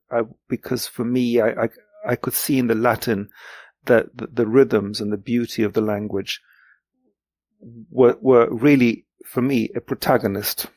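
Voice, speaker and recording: A man in his sixties whose mother tongue is German, speaking reflectively and haltingly as an interview guest, often repeating words for emphasis. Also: mid-range voice, gravelly, a little mellow, no echo. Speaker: guest, literary translator. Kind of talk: reflective podcast interview talk.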